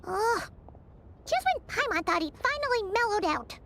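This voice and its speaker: Squeaky, piercing little fairy companion. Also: female voice, big pitch swings, moderate pace, sharp tone, slight background noise, full character voice. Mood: indignant. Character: small high-voiced childish fairy companion, indignant and nosy. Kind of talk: anime game voice acting.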